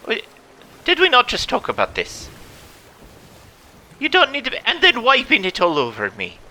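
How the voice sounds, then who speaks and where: A man's voice: very high voice, wildly swooping pitch, normal speed, bright, forceful tone, heavily acted. A man voicing a blustery, theatrical pirate captain who spins adventure tales in exclamatory old seafaring talk. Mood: indignant. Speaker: storyteller and host. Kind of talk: in-character adventure game narration for children.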